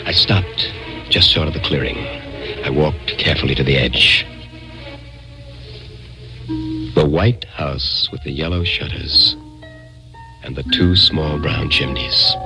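An actor playing an earnest, bewildered man telling his uncanny adventure in an old-time radio drama, dramatic and halting when nervous. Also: extremely low pitch, exaggerated voice. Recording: old-time radio recording with music behind.

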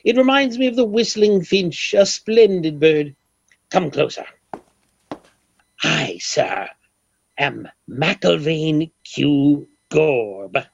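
A grandiloquent, obsessive rich eccentric in an old-time radio comedy. The voice sits fairly high and swings dramatically through flowery, formal phrases. The recording is dull and dated. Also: male voice, expressive, slow pace, gravelly, heavily acted. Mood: conspiratorial, dramatic. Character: pompous eccentric millionaire, obsessed bird egg collector.

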